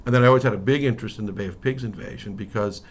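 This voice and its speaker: Low-voiced middle-aged American man, conversational. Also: very fast. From Miami, United States. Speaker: interview guest, history professor. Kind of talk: casual interview, anecdotal storytelling. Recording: noticeable background noise.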